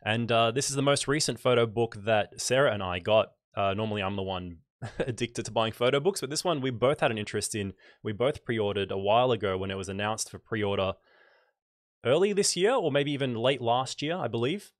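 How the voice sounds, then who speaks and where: A man in his thirties, slightly nasal and congested with a cold, casually presenting on a livestream in an unhurried, conversational way into a close microphone. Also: medium pitch, dry recording. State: congested from a cold, scratchy throat. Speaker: photography channel host. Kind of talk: casual livestream book review. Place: small home room, indoors. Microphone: large microphone close on a boom arm.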